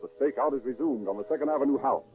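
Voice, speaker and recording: A man's voice: medium pitch, racing, darkish tone, dull audio. An American man narrating a 1930s radio crime drama in a formal, dramatic scripted style. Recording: thin 1930s radio recording with music.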